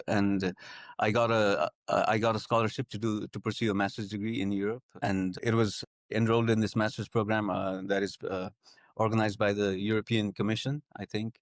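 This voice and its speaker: Hesitant man with a low, dry voice. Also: little pitch movement, moderate pace, no echo. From Nepal. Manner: hesitant, many fillers and restarts. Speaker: guest. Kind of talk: conversational interview.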